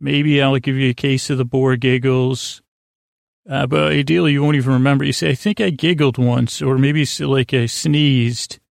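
Male sleep-podcast host, creaky mid-pitched voice, halting and rambling bedtime delivery, very dry sound. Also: steady tone, no room sound. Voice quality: creaky, gravelly. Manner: meandering, halting, stumbling over words, trailing into tangents. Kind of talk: rambling bedtime monologue to lull listeners to sleep.

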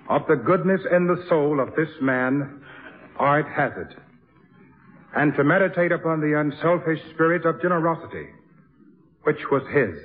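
An actor playing a solemn minister delivering a funeral eulogy in a 1950s radio drama. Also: male voice, a little high. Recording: muffled vintage radio, faint noise.

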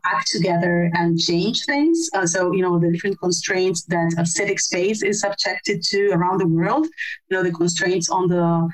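A middle-aged woman from Argentina speaks in a lower register. She thinks aloud as she answers, pausing on fillers and restarting sentences. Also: poor audio. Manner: thoughtful, many fillers and restarts. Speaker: podcast guest, civil society researcher. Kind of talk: conversational interview answer.

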